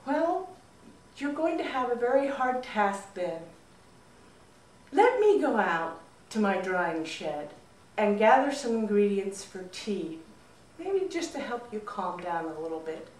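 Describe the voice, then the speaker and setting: Woman as a patient, slow-spoken wise healer, echoey. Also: mid-range voice, sing-song. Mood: gently firm. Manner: measured, gently advising. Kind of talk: storytelling. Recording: roomy echo, distant mic.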